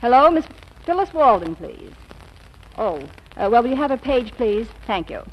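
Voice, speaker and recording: Brisk, wry female secretary for vintage radio comedy; lively, deadpan, higher-voiced, old noisy broadcast sound. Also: lo-fi sound. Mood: businesslike. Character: sharp, dryly sarcastic female secretary, jealously loyal. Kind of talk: 1940s radio comedy acting.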